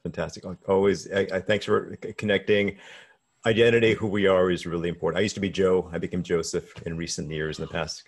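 A man's voice: low-pitched, steady tone, fast. A man in his forties hosting an interview over a video call from a room at home, eager and often restarting his words. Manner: enthusiastic, repeats and restarts words. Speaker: podcast host. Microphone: video call with desk mic.

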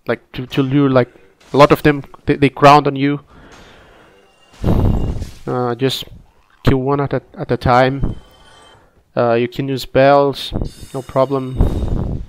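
A man with a cold casually commenting on his own gameplay, relaxed and halting, coughing now and then. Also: medium pitch, a little hiss or hum, poor audio. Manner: relaxed, halting, frequent restarts and fillers. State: sick, coughing.

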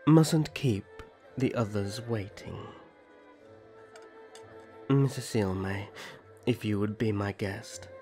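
A man playing a gentle, courtly young elf prince in a roleplay audio drama, speaking formally and graciously. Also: lowish voice. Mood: courteous, firm. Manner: formal, gracious, measured storytelling.

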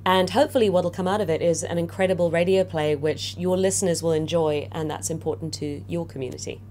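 A woman's voice: fairly low pitch, fairly even intonation, quick pace, darkish tone, a little hiss or hum. A woman giving direct, instructive explanations in an interview. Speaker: radio play organiser giving advice.

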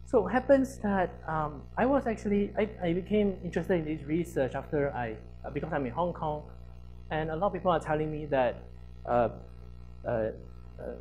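A man in his thirties with a soft voice, a researcher explaining his study in a halting conference presentation in a lecture hall. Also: fairly high pitch. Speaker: academic researcher presenting a paper.

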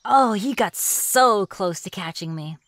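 Woman playing a slow-talking, teasing forest witch. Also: mid-range voice, expressive, a bit bright, no echo. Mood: gloating. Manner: playful, mocking, drawn-out taunting. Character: reclusive, teasing, flirtatious witch of the deep woods. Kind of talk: ASMR fantasy roleplay.

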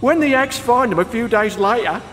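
A man's voice: extremely high pitch, animated, normal speed, a bit bright, slight background noise. A man playing a gossipy, streetwise prison inmate in a video game, telling grisly stories and testy about interruptions. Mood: testy, relishing. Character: gossipy, irritable male prison inmate spinning grisly tales. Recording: long echoing reverb, faint music.

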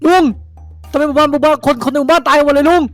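A man's voice: very high-pitched, level tone, rapid-fire, a bit bright. A man playing a poor young villager in an improvised game skit, anxious, combative and urgently repeating his exclamations. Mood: alarmed. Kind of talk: improvised Minecraft roleplay skit. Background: music.

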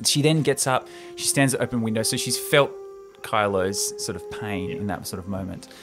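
A man's voice: lowish voice, normal speed. Enthusiastic young man podcasting over music. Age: young adult. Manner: enthusiastic, gesturing, slangy. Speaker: podcast host. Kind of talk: animated casual retelling of a film script. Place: small room indoors. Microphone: close podcast microphone on stand.

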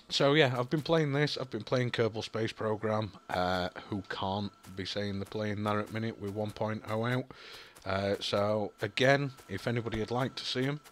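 Yorkshire man, low-pitched, young, rambling game commentary, steady hiss behind. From Yorkshire, England. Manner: rambling, thinking aloud with many ums and apologies. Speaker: gaming YouTuber. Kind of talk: casual gameplay commentary.

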